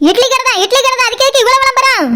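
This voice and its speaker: A grumpy, suspicious cartoon shop owner with an extremely high, sharp voice. The delivery is quick, bossy and sarcastic, in a Kanyakumari Tamil accent, always ready to scold. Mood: irritated, sarcastic. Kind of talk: comic cartoon dialogue.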